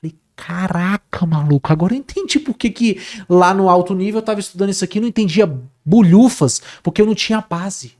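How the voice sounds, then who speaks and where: Male guest, casual animated storyteller, repeats himself, lots of fillers. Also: high voice, fast talker, few pauses. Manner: animated, repeats words, says "cara" often. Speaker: guest, Portuguese teacher.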